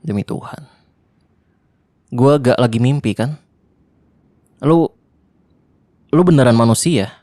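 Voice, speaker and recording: A young man performing an ASMR roleplay monologue in casual slangy speech, tense and hushed at times, close to the mic. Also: medium pitch, slow, pauses often, no room sound. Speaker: voice actor.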